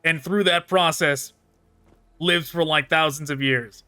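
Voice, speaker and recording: Man, high-pitched voice, bright tone, casual gaming commentary, rambling musings. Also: fairly even intonation, measured pace, clear, resonant tone. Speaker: video game streamer.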